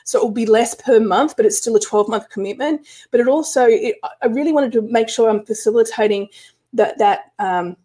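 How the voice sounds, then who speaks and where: A woman's voice: medium pitch, mellow. A woman spiritual business coach talking casually to her online group in a live stream, with lots of restarts and fillers. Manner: chatty, frequent restarts and fillers.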